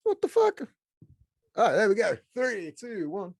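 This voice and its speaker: Man, fairly high voice, podcast host, casual, blokey, excitable, swearing freely, dry sound. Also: unhurried, vocal fry, dry recording. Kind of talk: casual chat. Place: small studio room.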